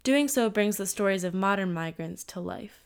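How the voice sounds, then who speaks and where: Woman narrating in an even, measured way. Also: mid-range voice, clear, resonant tone. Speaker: narrator, host. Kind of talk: scripted narration.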